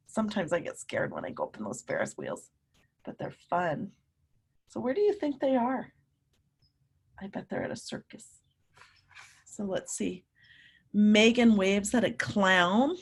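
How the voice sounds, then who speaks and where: Lady teacher doing kids storytime, slow n husky, asks the kids lots of simple questions. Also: medium pitch, big pitch swings. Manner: simple, encouraging, prompting questions addressed to children. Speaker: teacher reading aloud to students. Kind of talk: children's picture-book read-aloud with questions to young listeners.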